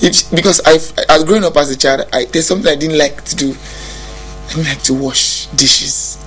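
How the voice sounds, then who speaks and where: A man's voice: on the high side, moderate pace, fairly bright tone, faint music behind, rough recording. A young Nigerian man with a Nigerian accent, a musician talking casually in an interview, reflective, often restarting his sentences. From Nigeria (Bayelsa State). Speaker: musician guest.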